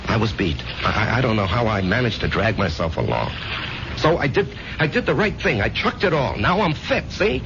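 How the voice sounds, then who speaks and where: An actor playing an overwrought, fatalistic war veteran husband in a 1970s radio drama, with emphatic repetition and stammers. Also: male voice, fairly low pitch, big pitch swings, normal speed, vocal fry. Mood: placating, earnest. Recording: dull noisy 1970s radio broadcast.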